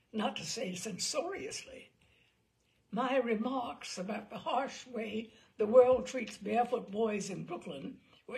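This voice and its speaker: Expressive woman reader, gravelly edge. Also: a little high, low-quality recording. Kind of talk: audiobook narration.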